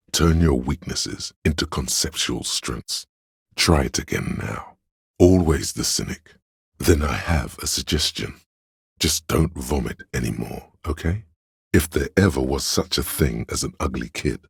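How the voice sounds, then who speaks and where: An actor voicing a pompous, art-obsessed inner voice in a video game, narrating in a literary, deliberate and theatrical way with a husky, gravelly tone. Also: male voice, a bass voice, dry recording, studio quality, full character voice. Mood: coaxing, then disdainful. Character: pretentious art-obsessed inner voice, male, musing and cutting. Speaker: narrator of a character's inner skill voice.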